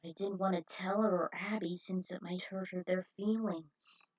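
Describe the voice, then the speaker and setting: A woman's voice: on the low side, steady tone, normal speed, a little mellow, distant mic, natural voice. A woman voicing a resolute female team leader, earnest and firm, in a story reading. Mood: earnest resolve. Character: steady, resolute woman leader consoling a teammate. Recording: dull, muffled lo-fi audio.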